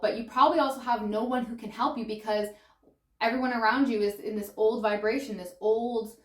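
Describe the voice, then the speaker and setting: A woman giving a tarot card reading in an excitable, gushing way. She says she is losing her voice. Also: on the high side, roomy sound. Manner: excitable and gushing, exclaiming and laughing. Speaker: tarot reader. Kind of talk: pick-a-pile tarot reading.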